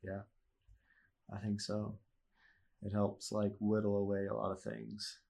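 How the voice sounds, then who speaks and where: A man's voice: on the low side, monotone, measured pace, creaky, warm tone. A young man chatting casually as a podcast guest, laid-back and full of "like" and "you know", with a stuffy nose. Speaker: podcast guest, host's husband. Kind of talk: casual chat.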